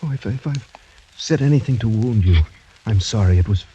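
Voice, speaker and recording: An actor playing a proud, doomed young French gentleman in formal, courtly, brooding old-time radio drama style. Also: lowish voice, animated, normal speed, a little hiss or hum, natural voice. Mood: sorrowful, resigned. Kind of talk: 1940s-50s American radio drama acting. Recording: dull, muffled old radio broadcast recording.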